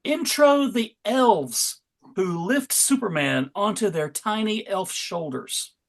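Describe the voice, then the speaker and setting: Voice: mid-range voice, expressive, slow pace. A comic book narrator reading scene descriptions aloud. The delivery is dramatic storytelling mixed with wry, informal asides.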